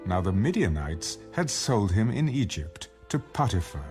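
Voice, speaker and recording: A man's voice: lowish voice, sing-song, moderate pace, a little mellow, soft background music. A man performing as a solemn narrator in a dramatized audio Bible, reading scripted scripture. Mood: solemn narration.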